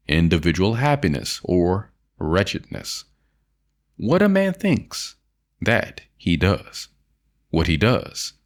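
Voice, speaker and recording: A man reads in the manner of an audiobook narrator, with a fairly deep voice. His intonation rises and falls widely. The delivery is solemn and deliberate, with emphatic phrasing and lingering phrase endings. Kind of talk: audiobook reading of an old self-help essay.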